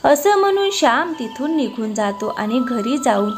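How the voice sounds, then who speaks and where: A woman's voice: on the high side, very animated, normal speed, over music. A woman narrating a scripted moral story as its storyteller.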